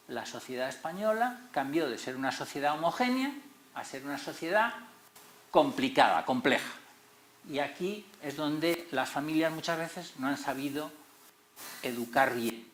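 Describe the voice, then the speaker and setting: A middle-aged man with a Madrid Spanish accent giving an expressive public lecture, stressing key words as series moderator. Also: fairly high pitch, slight background noise. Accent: Madrid Spanish, not very Canarian. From Tenerife, Canary Islands. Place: lecture hall. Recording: roomy echoey hall.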